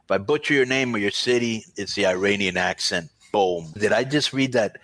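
A man's voice: on the low side, normal speed, a bit bright, no room sound. A man hosting a podcast in casual chat, with a hype, slangy delivery. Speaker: podcast host.

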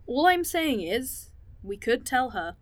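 A caring, principled woman in an audio drama, torn over a plan she joined. Her voice sits fairly high and is recorded very dry, with natural conversational delivery. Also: big pitch swings, slow, dry recording, heavily acted. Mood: uneasy, reasoning. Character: Val, conscientious woman, caring yet torn conspirator.

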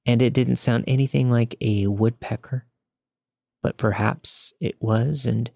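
A man's voice: medium pitch, creaky, a little mellow, no echo, clean studio sound. A man reading a scripted first-person scary story as a podcast narrator. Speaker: podcast host reading listener stories.

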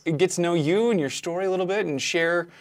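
A man's voice: high voice, quick pace. A man hosting a podcast interview, asking questions in an upbeat, friendly way. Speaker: podcast host. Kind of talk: interview host.

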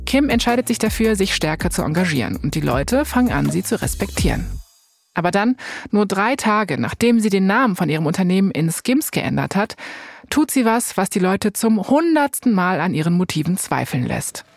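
A young woman hosts a narrative podcast over a music bed. She reads scripted storytelling with a wry, colloquial touch, dropping in casual asides and English slang. Her pitch sits in the middle range for a woman. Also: sing-song, moderate pace. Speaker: podcast host.

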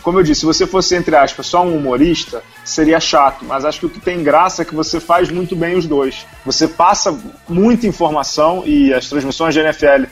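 A man's voice: on the high side, brisk, faint music behind. A Brazilian man hosting a casual sports interview, joking and cutting in on his guest. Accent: Brazilian Portuguese. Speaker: host.

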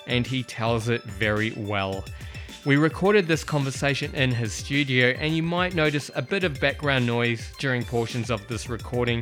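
A man hosting a podcast, reading a measured intro then asking polite questions. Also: mid-range voice, a bit bright. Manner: measured, polite, many short backchannels. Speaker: podcast host, interviewer. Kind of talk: scripted intro then interviewing.

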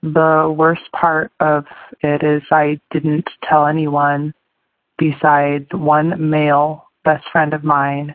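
Speaker, gravelly, slow, flat, steady testimony, dry phone-like sound. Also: medium pitch, no room sound. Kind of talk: personal testimony. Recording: dry, tinny phone-like recording.

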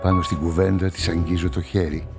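An actor playing a guarded amateur sleuth, telling a crime story in measured, reflective radio-play narration and dialogue. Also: male voice, sits low, flat delivery, music in the background.

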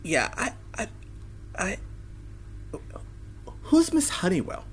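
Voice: mid-range voice, huge pitch swings, slow, slight background noise. An actor playing a caring, wry parent of a teenager in an audio drama, talking casually. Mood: bemused.

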